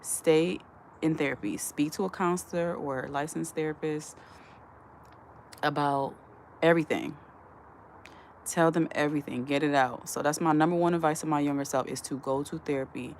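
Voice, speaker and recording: Low-voiced woman chatting on a livestream. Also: fairly even intonation, normal speed, a little hiss or hum. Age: adult. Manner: reads viewer comments aloud and answers them; earnest, advising tone. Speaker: livestream host. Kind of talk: casual live-stream chat.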